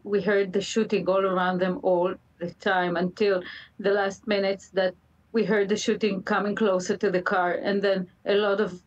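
A middle-aged woman with an Israeli accent gives an earnest interview over a video call. Her voice sits at a medium pitch, and she talks haltingly, repeating words for emphasis. She sounds a little way from the microphone. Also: recorded from a distance, rough recording. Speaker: interview guest, mother of a hostage. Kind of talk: emotional live TV interview. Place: indoor room, live remote video link. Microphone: computer video call.

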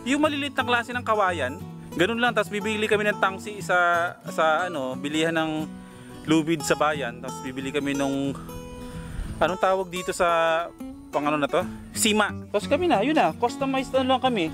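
A man's voice: sits high, moderate pace. A man in his thirties from Aklan, chatting and joking as he vlogs outdoors on a mango farm, filming himself at arm's length. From Aklan, Philippines. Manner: chatty, joking, laughs often. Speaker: vlogger. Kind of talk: casual vlog chat. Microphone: handheld camera at arm's length. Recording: background music under voice.

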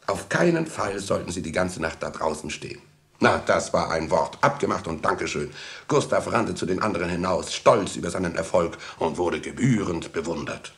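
A man's voice: low-pitched, fairly bright tone. A man narrating a children's story as the narrator of a 1960s radio play.

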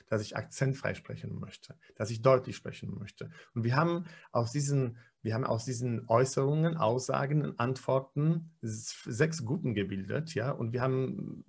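A man in his forties teaches pronunciation in an online lecture, speaking into a webcam from a small room. His voice is gravelly and a little husky, sitting at a middle pitch. He explains emphatically and fills pauses with hesitations and restarts. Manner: explanatory, many fillers and self-corrections, emphatic. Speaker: pronunciation trainer. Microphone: webcam video call.

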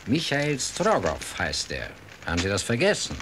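An actor playing a cool British gentleman reporter with a dry, worldly manner in a radio play. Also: on the low side, huge pitch swings, moderate pace, background noise. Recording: music behind the voice.

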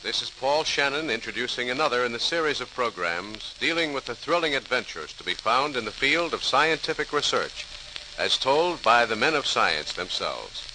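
Animated male old-time radio narrator. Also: medium pitch, moderate pace. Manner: dramatic, formal. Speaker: announcer and narrator. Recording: noisy, hissy old radio recording.

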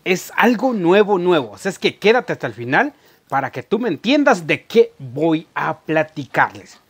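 A middle-aged man, chatting casually to camera in a halting, repetitive way as a vlogger. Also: a little high, big pitch swings, husky. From Guatemala. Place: indoor room at home.

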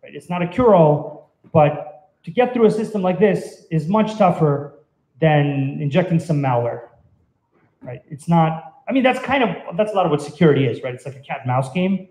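Halting man presenting in an echoey room. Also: fairly high pitch, lively intonation, a little mellow. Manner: hesitant, halting, conversational delivery. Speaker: conference speaker. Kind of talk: technical presentation, informal and explanatory. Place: indoor meetup venue, on stage by projection screen. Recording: roomy, echoey event venue.

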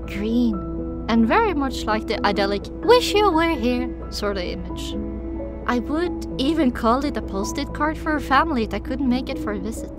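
A woman reading first-person dramatic audiobook narration as a scripted story. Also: on the high side. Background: music.

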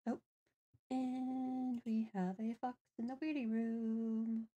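A woman's voice: fairly high pitch, fairly even intonation, takes it slowly, mellow, dull audio. A woman hosting a livestream, chatting casually and playfully with her co-host and viewers.

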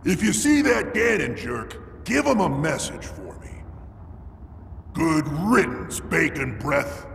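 A man voicing a boisterous, big-hearted rock-giant warrior in a video game, with a gravelly, hearty, boastful delivery. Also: a little high, sing-song, slow pace, in a large hall, a little hiss or hum, full character voice. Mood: hearty, proud. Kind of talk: video game cutscene acting. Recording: loud game music behind.

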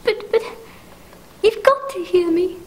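A woman's voice: very high voice, a little slow, a little mellow, low-quality recording. A young woman playing a frantic, demanding invalid wife in a 1940s-style radio play, stammering and repeating herself as she pleads. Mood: terrified, pleading.

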